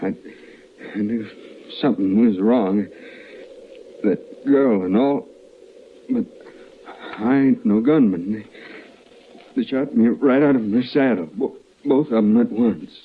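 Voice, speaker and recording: An actor playing a badly wounded American cowboy, gasping and speaking in weak, broken phrases in an old radio western. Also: male voice, fairly low pitch, takes it slowly, long pauses. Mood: weak, pained. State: gravely hurt, gasping, struggling to speak. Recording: muffled, noisy old radio recording.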